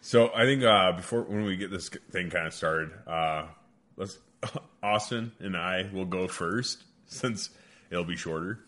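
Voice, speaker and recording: Deep-voiced, profane, laid-back podcast host. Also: male voice, unhurried, fairly bright tone. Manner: profane, rambling, laughs often. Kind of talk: casual podcast chat and ad read. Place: hunting cabin.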